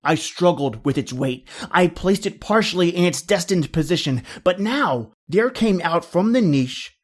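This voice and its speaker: Man playing a theatrical, vengeful nobleman, higher-pitched. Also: lively intonation. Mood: cold, grim. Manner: theatrical, deliberate, dramatic storytelling. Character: cold vengeful nobleman narrating his murder by walling-in. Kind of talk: dramatic horror story reading.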